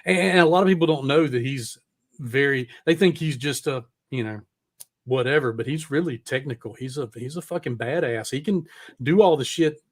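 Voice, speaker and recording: A man's voice: mid-range voice, moderate pace. Middle aged guy hosting a podcast, super casual, rambles a bit, drops swears. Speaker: podcast host. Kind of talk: casual chat interview.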